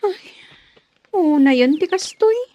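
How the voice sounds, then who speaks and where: A woman's voice: very high voice, animated, takes it slowly, dark tone, full character voice. A woman playing an anxious, wary villager in a radio drama.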